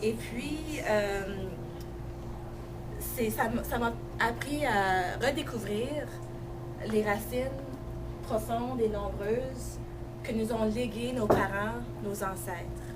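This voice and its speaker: A woman's voice: fairly high pitch, takes it slowly. A woman from Ottawa, Canada, giving a formal but hesitant speech with fillers at a festival launch. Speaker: guest speaker. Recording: distant mic with background noise.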